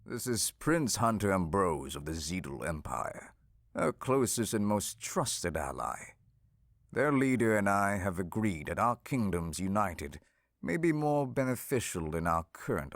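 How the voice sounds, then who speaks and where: A man playing a stern, authoritative king in a fantasy audio drama, measured, formal and commanding. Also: low voice, gravelly, dry recording, clean studio sound, full character voice. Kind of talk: fantasy audio roleplay acting.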